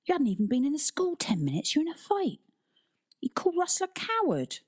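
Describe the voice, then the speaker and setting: A woman's voice: medium pitch, very animated, a little fast, gravelly, dry recording, clean studio sound. A woman voicing a responsible schoolboy in an audiobook, earnest and reproachful as he nags his friend.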